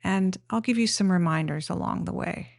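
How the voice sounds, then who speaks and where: A woman leading a guided meditation, giving gentle, plain-spoken instructions in an unhurried way with pauses. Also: on the low side, creaky, dry recording, clean studio sound.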